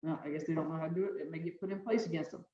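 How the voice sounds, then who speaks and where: A woman judge with a deep voice presiding over virtual hearings, giving firm, brisk, repeated procedural instructions over a video call. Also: fairly even intonation, mellow. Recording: echoey, distant, dull video-call audio.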